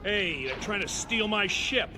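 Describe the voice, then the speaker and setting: An actor playing a brash, wisecracking space smuggler pilot in a sci-fi audio drama. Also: male voice, high-pitched, lively intonation, unhurried, very noisy. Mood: angry.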